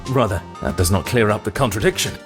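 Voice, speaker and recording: Man as a haughty prosecutor, lively in pitch. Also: fast talker, lightly acted. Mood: skeptical. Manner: disdainful, formal. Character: haughty, skeptical male prosecutor. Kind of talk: video game courtroom dialogue. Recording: faint music behind the voice.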